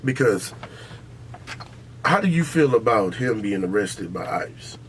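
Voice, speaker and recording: A man's voice: on the low side, very animated, measured pace. Brash man podcasting over background noise. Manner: brash, opinionated, profane trash talk. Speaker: podcast host. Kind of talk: casual, heated sports and culture debate.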